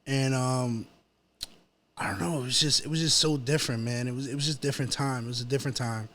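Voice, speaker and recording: A man with a New York accent chatting casually as a podcast guest, telling personal stories in a slangy, halting, repetitive way. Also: medium pitch, steady tone, a bit bright. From New York City. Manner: slangy, halting, repeats himself.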